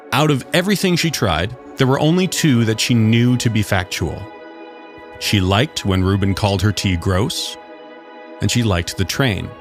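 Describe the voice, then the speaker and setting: A man reading a scripted story aloud as its narrator. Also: fairly low pitch.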